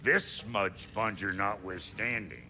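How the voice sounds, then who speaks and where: An actor playing a crude, cranky, foul-mouthed dwarf blacksmith with a gruff, folksy, blustering way of talking. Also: male voice, fairly low pitch, slow, sharp tone, heavily acted. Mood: scornful.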